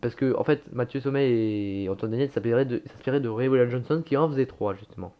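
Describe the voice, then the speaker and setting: A man's voice: medium pitch, fast, dull audio. A young man casually reacting to his own old videos, chatty and self-mocking, swearing and laughing at himself.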